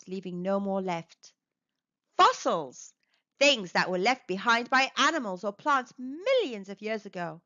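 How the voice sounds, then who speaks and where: A woman reading an educational children's book aloud as narrator, enunciating clearly in an expressive, teacherly way. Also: fairly high pitch, dry recording, lo-fi sound.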